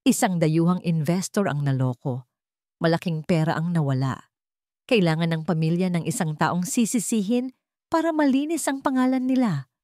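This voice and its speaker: A woman with a Filipino accent voicing a calm, serious man with hidden bitterness in an audio drama. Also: fairly low pitch, moderate pace, dry recording, clean studio sound, close to an everyday voice. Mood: bitter, grave.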